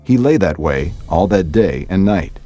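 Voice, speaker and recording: A man narrating scripture from a script in a measured storytelling manner. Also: low voice, animated, dark tone, soft background music.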